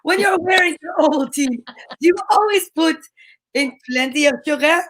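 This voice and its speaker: A woman playing an upbeat radio announcer, reading a chatty, emphatic sponsor pitch in an old-time show style. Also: normal speed, close to an everyday voice, poor audio. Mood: bright, selling.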